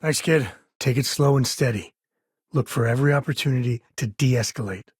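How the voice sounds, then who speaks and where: A man's voice: medium pitch, moderate pace, a little mellow, husky, no room sound, studio quality. An actor playing an easygoing, wry space-frontier ranger and protective father in a video game, with folksy, informal speech. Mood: earnest.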